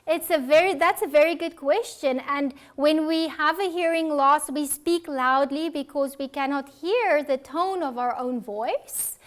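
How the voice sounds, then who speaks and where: A South African woman with a notably high voice gives a public health talk. Her explanations are earnest and reassuring. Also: unhurried, hardly any pauses. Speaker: audiologist presenter.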